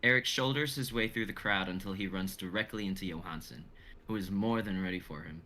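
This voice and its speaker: A man's voice: sits low, fairly quick, pushed and bright. A young man reading stage directions as dramatic narration in a live script reading. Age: young adult.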